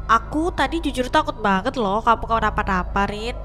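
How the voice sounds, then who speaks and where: Sahabat pendaki yang khawatir , diperankan seorang wanita. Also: female voice, fairly high pitch, expressive, fairly quick, pushed and bright, soft background music. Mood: worried. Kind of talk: animated horror story voice acting.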